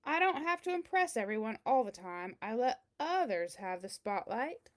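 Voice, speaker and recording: A woman's voice: a little high, very animated, moderate pace. A woman voicing a boastful big cheese learning humility, telling its own picture-book story in a theatrical, emphatic way. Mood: upbeat, proud. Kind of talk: first-person picture-book read-aloud.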